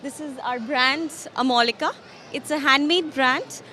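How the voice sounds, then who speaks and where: A woman's voice: sits high, a little slow, bright, forceful tone, slight background noise. A woman from India speaking unscripted in an interview as a stallholder.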